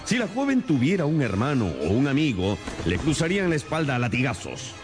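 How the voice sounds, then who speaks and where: An actor playing a shrewd, stern detective in a radio drama, speaking in a deliberate, theatrical, expository way. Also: male voice, medium pitch, big pitch swings, music in the background, lightly acted. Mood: indignant.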